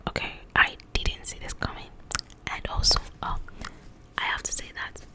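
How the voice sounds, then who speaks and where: A woman whispering in an ASMR style as she casually chats about a book in a rambling, animated, informal way. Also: moderate pace. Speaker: ASMR creator reviewing a book.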